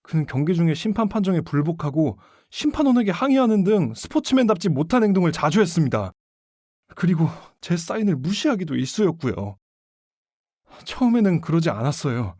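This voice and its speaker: A man's voice: fairly high pitch, very animated, a little fast, darkish tone, no room sound, studio quality. A man playing an indignant baseball player accused of a crime in an audio drama, pleading and protesting emphatically. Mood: bitter, frustrated.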